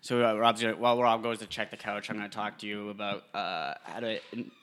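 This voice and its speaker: A young man with a low, bright voice rambles on a podcast. He stumbles through restarts and fillers and drops dry jokes along the way. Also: steady tone, brisk, smooth. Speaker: podcast co-host. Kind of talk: casual chat.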